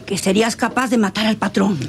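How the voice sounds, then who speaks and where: An actress playing a scornful, sharp-tongued hacienda woman with a Mexican accent, theatrical and dismissive in a radio drama. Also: medium pitch, fairly even intonation, fairly quick, a little hiss or hum, lightly acted. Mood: indignant, dismayed. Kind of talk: radionovela acting.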